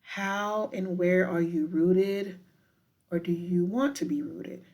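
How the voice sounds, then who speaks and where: A woman guiding a meditation in a deliberate, unhurried manner with frequent pauses. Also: lowish voice, fairly even intonation, darkish tone. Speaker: professor guiding a breathing meditation. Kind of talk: guided meditation with spoken poetry.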